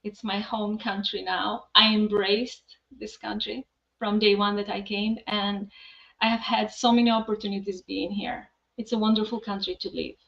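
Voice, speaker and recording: A middle-aged woman with an Albanian accent chats as a podcast guest. Her pitch is average for a woman, and the audio is dull and lo-fi. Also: little pitch movement, normal speed, gravelly, fairly bright tone. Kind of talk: casual interview talk.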